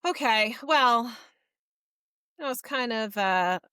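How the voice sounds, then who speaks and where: A woman's voice: on the high side, animated, takes it slowly, sharp tone, clean tone, no room sound. A woman hosting a podcast in casual, informal chat, speaking with gushing emphasis. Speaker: podcast host. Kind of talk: casual book discussion chat.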